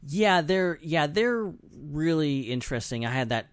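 A man with a fairly high voice hosts a casual podcast. His pitch swings widely as he chats in an animated way. The sound is dry, with hardly any room in it. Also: unhurried, no room sound. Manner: animated, chatty. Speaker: podcast host.

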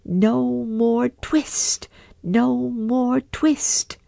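A woman voicing a chorus of tiny, rude, merry mouse tailors who chant nursery rhymes in a high sing-song. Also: wildly swooping pitch, slow, creaky, warm tone, no echo, heavily acted.

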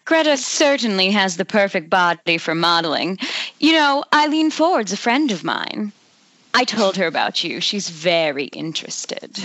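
A woman's voice: mid-range voice, sing-song, measured pace, fairly bright tone, no room sound. A woman playing a vain, nagging society mother with affected politeness in an amateur script read over a muffled internet call. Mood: gushing, pushy. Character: vain, shrill society mother hosting dinner party. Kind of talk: amateur screenplay table read.

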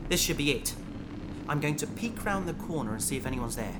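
A man's voice: fairly high pitch, lively intonation, fairly quick, very noisy, natural voice. A man playing a cocky, bookish human adventurer with a wisecracking, self-assured manner in a sci-fi audio drama. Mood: focused. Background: loud dramatic music.

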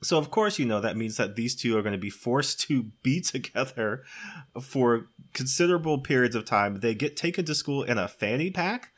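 A man's voice: medium pitch, animated, normal speed. A man with a mid-range voice recaps a movie on a podcast. His delivery is casual and comedic, full of wry disbelief, and he often laughs as he tells the story. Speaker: podcast co-host recapping a film.

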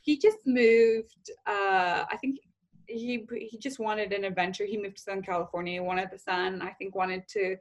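A woman's voice: medium pitch, steady tone, moderate pace. Chatty young American woman, laughing often. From Pacific Northwest US. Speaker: interview guest. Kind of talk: casual conversation.

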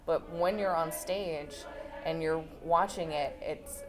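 A young woman in her twenties, a thesis researcher interviewing a theatre maker in a chatty way, full of restarts and quick laughs. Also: deep voice. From Los Angeles, United States. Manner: chatty, many fillers and restarts, laughs easily. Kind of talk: casual conversational interview. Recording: music playing underneath.

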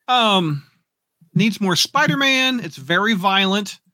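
A man's voice: sits high, animated, slow pace, sharp tone, no echo, clean studio sound. A man hosting a podcast in casual chat, reading out comments and reacting to them.

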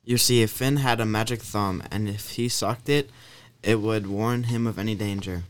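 Low, smooth male storyteller. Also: normal speed, dry recording. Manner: expressive storyteller. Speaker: narrator. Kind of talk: dramatic folktale narration for radio.

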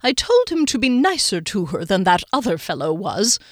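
A smug, haughty man in an audiobook, acted out by a woman, dismissive and quick to take offence. The delivery is animated, with wide swings of pitch and a dry, close sound. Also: dry recording. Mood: impatient. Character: pompous, smug, easily affronted man, self-satisfied schemer. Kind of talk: audiobook character dialogue.

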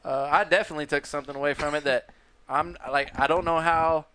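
A man's voice: on the high side, fairly even intonation, quick pace, sharp tone, smooth. A man telling rambling, self-mocking stories on a casual podcast. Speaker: podcast co-host. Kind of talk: casual storytelling chat.

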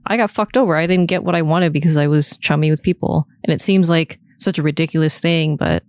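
A woman's voice: deep voice, fairly quick, dry recording, studio quality. A woman co-hosting a podcast, chatting casually in an informal, slangy way. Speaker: podcast co-host. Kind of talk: casual chat.

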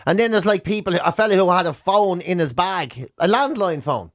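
High-pitched Irish man bantering on radio. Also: moderate pace, dry recording. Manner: animated, acts out quoted complaints in mock outrage. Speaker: radio show co-host. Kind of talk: casual comic banter, retelling anecdotes. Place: radio studio.

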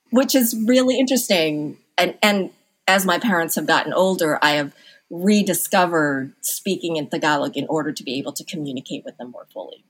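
A middle-aged woman from the Philippines, a physician answering interview questions in a thoughtful, halting way. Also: fairly low pitch, breathy edge, low-quality recording. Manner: thoughtful, halting restarts with occasional laughs. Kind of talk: conversational interview.

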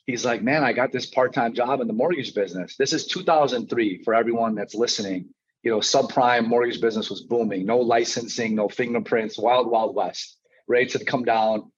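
A man's voice: medium pitch, slightly rough. A man in his thirties from Chicago, telling his own story conversationally as a podcast guest. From Chicago, United States. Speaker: podcast guest, mortgage branch manager and coach. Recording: slightly dull, lo-fi audio.

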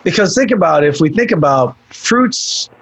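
A man's voice: a little high, lively intonation, moderate pace, gravelly, slight background noise, rough recording. A man from Ireland in casual podcast chat, offering thoughtful, tentative suggestions.

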